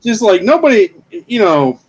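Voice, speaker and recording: A man in his fifties with a fairly high, bouncy voice that rises and falls a lot. He talks casually and bluntly about movies, stumbling and restarting as he goes. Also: very animated, moderate pace, slightly rough, low-quality recording. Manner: opinionated, hesitant restarts. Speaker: podcast co-host.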